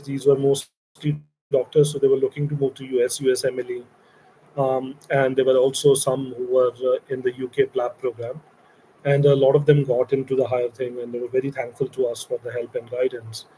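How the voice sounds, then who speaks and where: A middle-aged man from India speaks with an Indian English accent, walking new interns through a program he founded. His delivery meanders, with many pauses and fillers. His voice is warm and mellow, with a faint hum of noise behind it. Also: medium pitch, level tone, low-quality recording. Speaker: company founder leading an internship. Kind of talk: recorded orientation talk to interns.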